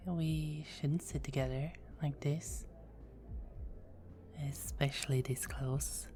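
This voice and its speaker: Woman doing a teasing vampire lady, low voice, slow and mellow, soft whispers, faint music behind. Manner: soft-spoken, often whispering, playful teasing. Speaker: performer of own script. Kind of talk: ASMR roleplay.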